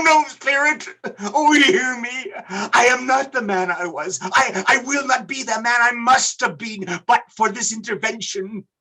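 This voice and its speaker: A man plays an old miser in a theatrical radio drama, frightened and desperate to repent. The voice is sharp and forceful yet husky, and it moves slowly, with halting, imploring phrases. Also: extremely high pitch, heavily acted, poor audio. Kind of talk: theatrical radio play acting.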